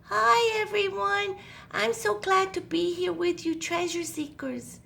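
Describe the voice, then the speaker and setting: A woman voicing a sweet, shy little girl who is a younger sister, speaking softly. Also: very high-pitched, steady tone, unhurried, vocal fry, pitched above the performer's own voice, heavily acted.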